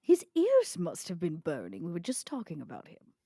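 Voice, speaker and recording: A woman voices a vampire leader for a tabletop horror game. Her voice is low, dark and close-miked dry, and she is blunt, shrewd and scornful. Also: huge pitch swings, normal speed, slightly rough, no echo, heavily acted. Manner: blunt, dismissive.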